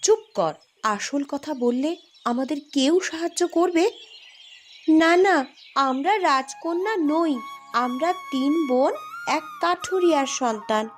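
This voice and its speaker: Bossy eldest princess, high and slow. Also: female voice, expressive, soft background music. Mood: scolding, sly. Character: eldest princess sister, protective, quick-witted and bossy. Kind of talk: cartoon fairy-tale voice acting.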